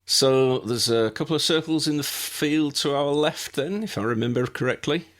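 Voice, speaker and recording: A man's voice: fairly high pitch, moderate pace, voice pushed up, natural voice. A man voicing a plain-spoken, cautious male wizard sidekick in an audiobook, informal and tentative.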